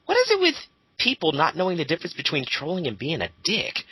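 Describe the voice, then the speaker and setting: Sharp-voiced man in blunt casual commentary. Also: fairly high pitch, huge pitch swings, fast talker, husky, no echo. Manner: argumentative, blunt, foul-mouthed. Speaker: co-host commenting on online arguments.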